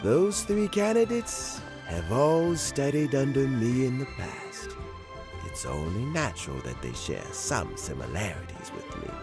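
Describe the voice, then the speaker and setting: An actor playing a kindly older man close to retirement, gentle and reminiscing, chuckling often. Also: medium pitch, sing-song, unhurried, over music. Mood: warm. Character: kindly old man near retirement, fond of fishing. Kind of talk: game voice acting.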